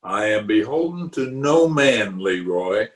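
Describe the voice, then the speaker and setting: A man's voice: lowish voice, animated. A man playing a pompous, blustering middle-aged uncle in an old-time radio comedy, drawing his words out indignantly. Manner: pompous, indignant, drawn-out delivery. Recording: remote home recording over video call.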